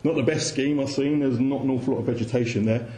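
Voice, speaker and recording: Mellow-voiced man presenting in an echoey room. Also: medium pitch, fairly even intonation, quick pace. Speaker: conference speaker. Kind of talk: informal presentation to an audience. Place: indoor room with audience. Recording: echoey room with faint background noise.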